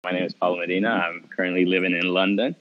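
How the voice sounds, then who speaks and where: Deep-voiced young man, relaxed and halting. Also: muffled recording, music in the background. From Mexico City. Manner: relaxed, halting with many restarts and light laughs. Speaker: podcast guest. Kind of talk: casual interview chat.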